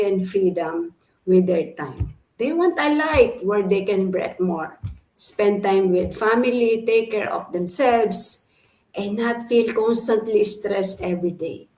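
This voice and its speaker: A woman's voice: mid-range voice, a little mellow. Earnest woman in an echoey room. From Philippines. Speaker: guest panelist. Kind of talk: live stream panel answer.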